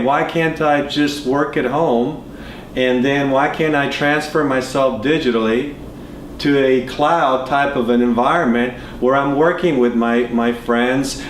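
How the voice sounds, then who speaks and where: A man walks through his thinking at a whiteboard, restarting sentences and drifting into asides. His voice sits at an ordinary middle pitch. The studio room gives a noticeable echo over a faint hiss. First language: Serbo-Croatian. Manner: loose, rambling explanation with many restarts and asides. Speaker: entrepreneur explaining ideas. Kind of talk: whiteboard talk to camera. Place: indoor studio in front of a whiteboard.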